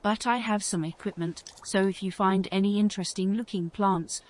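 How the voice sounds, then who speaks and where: An actor voices a courteous alchemist shopkeeper in a fantasy audio game. The voice sits at a middling pitch, and the delivery is polite and helpful. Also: fairly even intonation, moderate pace, natural voice. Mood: welcoming. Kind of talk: audio game character acting.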